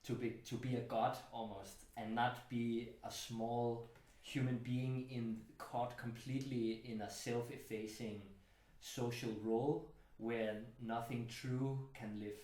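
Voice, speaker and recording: A man's voice: medium pitch, little pitch movement, slow pace, hardly any pauses, darkish tone, reverberant, low-quality recording. A man hosting a casual, thoughtful book club conversation in a home studio, talking reflectively with many fillers. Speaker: host.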